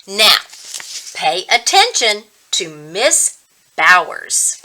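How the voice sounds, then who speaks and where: A woman's voice: mid-range voice, big pitch swings, extremely slow, sharp tone, exaggerated voice, poor audio. A woman reading a storybook as a strict, bossy first grade teacher, firmly instructing her class.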